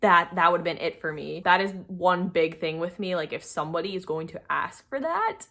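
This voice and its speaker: A woman's voice: lowish voice, animated, moderate pace, vocal fry, bright, forceful tone. Young woman telling a casual story. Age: young adult. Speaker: storytime video creator.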